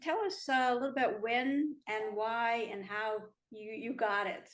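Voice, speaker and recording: A woman's voice: a little high, steady tone, measured pace. A woman hosting a TV interview, asking eager, admiring questions. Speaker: interview host.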